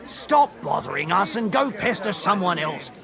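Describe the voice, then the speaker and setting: An actor playing an irritable, sarcastic medieval servant in a video game. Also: male voice, sits high, sing-song, moderate pace, vocal fry, a crowd in the background, heavily acted. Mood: irritated, sarcastic. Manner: snappy, sarcastic. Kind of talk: video game cutscene acting.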